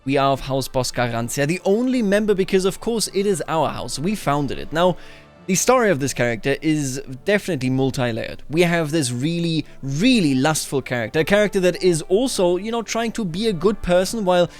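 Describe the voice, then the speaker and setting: Male game commentator, slightly high voice, musing storyteller who explains as he goes, soft music underneath. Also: lively intonation, a little fast. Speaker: host of a video game playthrough series.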